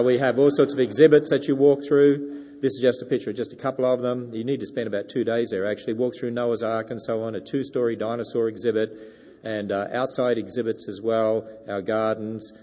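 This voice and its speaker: An Australian man delivers a sermon to a church crowd through a handheld mic. He talks in a folksy, joking way at an ordinary pitch. The sound carries a long hall reverb. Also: dark tone. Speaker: guest speaker. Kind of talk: sermon to a congregation. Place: church sanctuary. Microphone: wireless handheld microphone.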